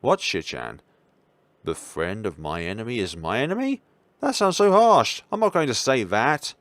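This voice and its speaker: A man's voice: medium pitch, sing-song, normal speed, a bit bright, dry recording. A man voicing a bubbly, chatty teenage schoolgirl from a visual novel in a playful sing-song manner. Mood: protesting.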